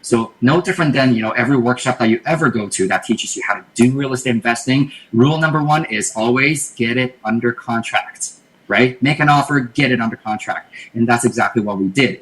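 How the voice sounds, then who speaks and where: A man's voice: mid-range voice, fairly bright tone, rough recording. A man from Taiwan, a podcast guest, explaining his plans in a thoughtful, casual way and often repeating words as he thinks. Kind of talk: casual interview talk.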